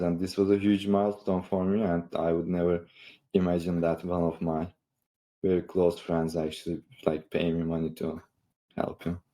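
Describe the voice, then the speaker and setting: Man, Turkish accent, deep pitch, very soft dark tone, casual podcast chat, halting. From Istanbul, Turkey. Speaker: online creator and coach, podcast participant.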